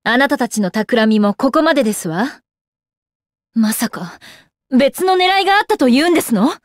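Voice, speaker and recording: A woman's voice: sits high, lively intonation, normal speed, no room sound, studio quality. An actress playing a proud, composed young goddess warrior in a game, with refined, ladylike and formal speech. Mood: confident, stern. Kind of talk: Japanese anime game character acting. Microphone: close dry studio microphone.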